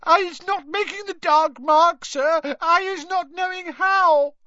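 A tiny female house elf servant in a fantasy audiobook. The voice is squeaky and shoots far above normal talk, tearful and terrified. She pleads in broken grammar and repeats herself. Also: a little slow, bright, forceful tone, dry recording, muffled recording, full character voice. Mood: frantic pleading.

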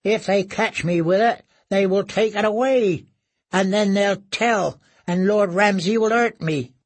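A man's voice: high voice, drawn-out words, voice pushed up, heavily acted. A man voicing a starved, broken male prisoner who whimpers, stammers and begs. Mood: terrified pleading.

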